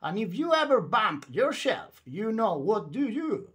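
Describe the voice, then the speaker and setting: Male narrator for children's picture books. Unhurried, very lively pitch, emphatic and theatrical bedtime delivery. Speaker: storyteller reading a children's book aloud. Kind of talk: animated bedtime read-aloud narration.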